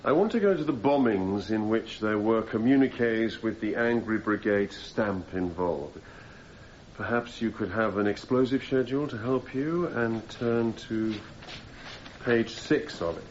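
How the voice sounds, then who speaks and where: An actor playing a persistent, formal defence barrister cross-examining a witness in a radio courtroom drama, putting methodical leading questions. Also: male voice, on the low side, a little slow, darkish tone, lightly acted. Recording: slightly muffled, noisy radio drama recording.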